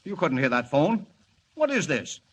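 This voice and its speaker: An actor playing a gruff, impatient newspaper city editor in a 1940s radio drama. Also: male voice, a little high, very animated, moderate pace. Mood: brusque. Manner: brusque, impatient, blustering. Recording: very noisy old 1940s radio recording.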